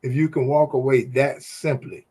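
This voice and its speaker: A man co-hosting a relaxed, faith-based talk show, with a voice sitting in the ordinary middle range. He speaks with emphatic, preachy energy, building points in animated runs as if sharing a lesson. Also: mid-range voice, normal speed, slightly rough. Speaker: podcast co-host.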